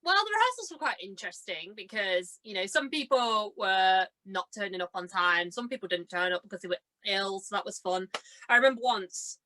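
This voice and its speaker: A young woman chatting casually as a guest, telling stories in an animated, giggly and self-deprecating way, acting out bits of dialogue. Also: mid-range voice, brisk, low-quality recording. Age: young adult. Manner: chatty, animated storyteller who acts out reported dialogue. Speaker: podcast guest, stage and radio drama performer. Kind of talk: casual chat, telling anecdotes.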